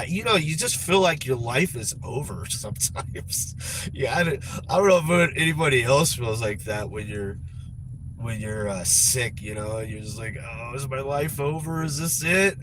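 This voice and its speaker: A man's voice: mid-range voice, unhurried, background noise. A man with a stuffed-up nose, chatting casually in a rambling vlog, repeating words and trailing off with sighs. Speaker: vlogger.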